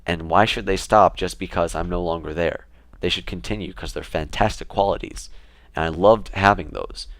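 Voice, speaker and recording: A young man talking casually to his camera in a relaxed, rambling way, with fillers and restarts. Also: deep voice, moderate pace, dry recording. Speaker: vlogger.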